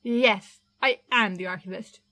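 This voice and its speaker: A woman's voice: medium pitch, unhurried, pushed and bright. A woman voicing a nervous man who stammers and hesitates, shaken and trying to stay composed. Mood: shaky. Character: nervous young male archivist, shaken, stammering.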